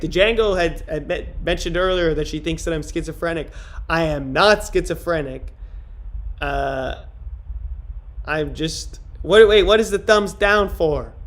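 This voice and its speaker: Rambling young man with a high voice. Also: a bit bright. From Rochester, New York. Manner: rambling, profane, answering chat comments aloud. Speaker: streamer. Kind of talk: casual live-stream chat.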